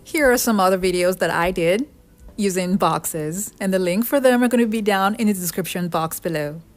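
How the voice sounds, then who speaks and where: A woman narrates a do-it-yourself craft video in a medium-pitched voice. She explains things step by step as a tutorial host. Music plays behind her voice-over. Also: moderate pace. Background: background music.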